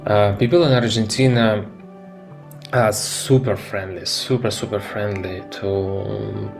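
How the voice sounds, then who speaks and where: A man's voice: fairly low pitch, steady tone, takes it slowly, vocal fry, faint music behind. A man from Russia with a Russian accent, speaking hesitantly in a conversational interview and repeating words for emphasis.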